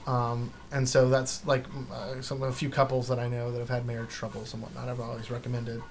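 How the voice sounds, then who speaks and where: A man's voice: medium pitch, monotone, fairly quick, slight background noise. A man hosting a podcast, talking in casual chat in a blunt, joking, opinionated way.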